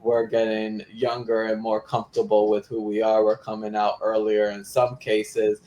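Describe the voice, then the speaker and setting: A young man from Florida, a community activist, giving clear interview answers with some "um"s. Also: on the low side, completely flat delivery, moderate pace, warm tone, rough recording. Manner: articulate and explanatory, with fillers.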